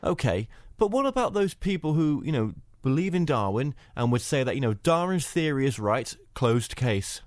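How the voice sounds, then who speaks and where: A man's voice: mid-range voice, big pitch swings, moderate pace, hardly any pauses, no room sound. A male host asking rambling interview questions full of "you know" fillers.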